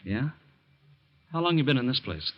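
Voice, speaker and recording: An actor playing a nervous, earnest young ex-convict in a 1940s radio drama, with an eager, pleading manner. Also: male voice, mid-range voice, sing-song, normal speed, close to an everyday voice. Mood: warm. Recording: dull old radio broadcast.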